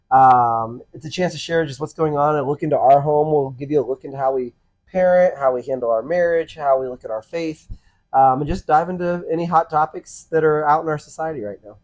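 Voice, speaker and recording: A man's voice: a little high, darkish tone, low-quality recording. Earnest easygoing man in his thirties. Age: 30s. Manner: easygoing and earnest, halting with many ums and restarts. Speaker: podcast co-host, pastor. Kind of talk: casual conversational podcast chat.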